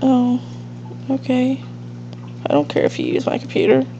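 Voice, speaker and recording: A woman's voice: high-pitched, wildly swooping pitch, normal speed, creaky, mellow. A woman with a nasal, stuffed-up allergy voice giving casual, rambling gameplay commentary as the host of a let's play. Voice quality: nasal, congested, sniffly. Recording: dull lo-fi mic with faint hiss and hum.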